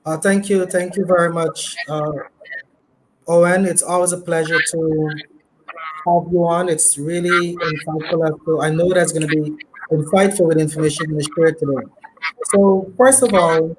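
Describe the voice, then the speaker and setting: A man's voice: on the high side, fairly even intonation, moderate pace. A man hosting a live interview, polite and hesitant, with many fillers. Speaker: interview host. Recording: noisy live stream.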